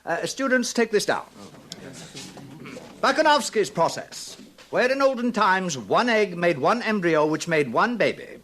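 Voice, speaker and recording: A man playing a brisk, self-satisfied hatchery director, lecturing pompously in a 1950s radio drama. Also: high voice, big pitch swings, a bit bright, full character voice. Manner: pompous, lecturing, hesitating with small uhs. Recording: noisy vintage radio recording with clicks.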